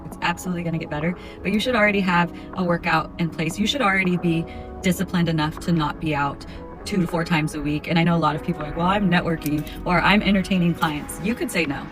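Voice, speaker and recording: A woman in her thirties giving her frank, firm opinion on dating. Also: low-pitched. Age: 30s. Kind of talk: opinionated vlog monologue.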